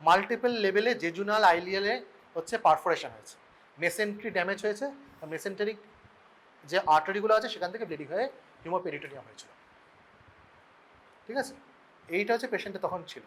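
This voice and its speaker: A male medical lecturer with a Bengali Indian accent and a notably high voice. He speaks quickly in an informal classroom style, posing questions, answering them himself and checking that everyone follows. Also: a bit bright, a little hiss or hum, low-quality recording. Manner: explains through questions and answers, repeatedly checking understanding.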